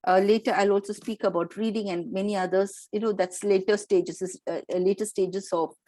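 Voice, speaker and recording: Indian lady professor explaining to teachers, mid pitch, dry close sound, lots of um and you know. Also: fairly even intonation, brisk, mellow, dry recording. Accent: Indian English. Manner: explanatory, with fillers and restarts. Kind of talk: lecture to teachers.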